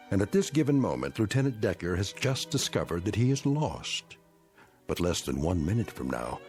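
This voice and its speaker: An actor as a grave narrator of an eerie radio drama anthology, measured and portentous. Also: male voice, deep voice, darkish tone, full character voice.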